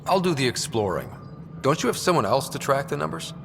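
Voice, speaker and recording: A man's voice: medium pitch, big pitch swings, a little fast, gravelly, over music. A man voicing a young, wry and earnest space explorer in a video game, with a dry, casual manner. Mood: wry, confident. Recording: reverberant, faint background noise.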